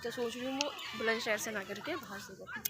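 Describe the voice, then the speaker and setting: A woman in her early twenties chatting casually outdoors, telling stories in an animated way. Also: on the high side, a little fast. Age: early 20s. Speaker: friend. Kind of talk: casual chat. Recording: outdoor recording with background noise.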